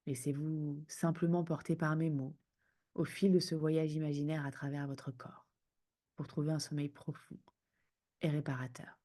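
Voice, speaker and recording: A woman guiding a sleep meditation in a soothing, hushed manner, speaking in unhurried phrases with pauses. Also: low voice, steady tone. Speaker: yoga nidra guide.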